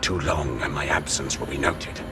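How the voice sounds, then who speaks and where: An actor playing a loyal, grave royal retainer, speaking formally and earnestly in a game cutscene. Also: male voice, very deep voice, moderate pace. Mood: urgent, hushed. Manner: formal, earnest, hushed urgency. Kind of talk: video game cutscene drama acting. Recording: loud game music behind the voice.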